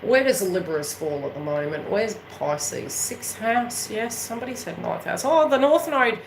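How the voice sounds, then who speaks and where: A woman's voice: medium pitch, animated, normal speed, a little hiss or hum, rough recording. A woman from New Zealand with a Kiwi accent, chatting casually and unscripted to her viewers as an astrology reader, in an emphatic, rambling way that keeps checking they follow. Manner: emphatic, rambling, often checking in with tag questions. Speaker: astrology reader and spiritual coach hosting her own channel.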